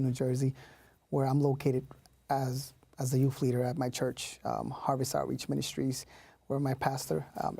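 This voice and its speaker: A man's voice: medium pitch, gravelly, dark tone, no room sound. A man in his thirties, born in Puerto Rico, talking casually as an interview guest with many fillers and restarts. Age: 30s. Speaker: youth leader.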